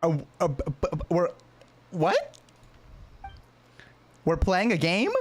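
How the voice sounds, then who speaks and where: A woman streaming a game, giving casual, excitable, gushing reactions and often repeating words. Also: on the low side, slow pace, noisy background. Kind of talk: casual, reactive live playthrough commentary. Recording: game music behind.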